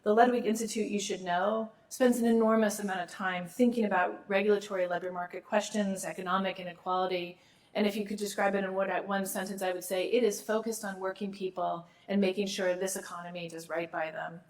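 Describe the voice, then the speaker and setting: A woman's voice: medium pitch, steady tone, fairly quick. Woman dean losing her voice. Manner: warm, formal, with small restarts and asides. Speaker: law school dean. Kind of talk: formal speech at an awards ceremony.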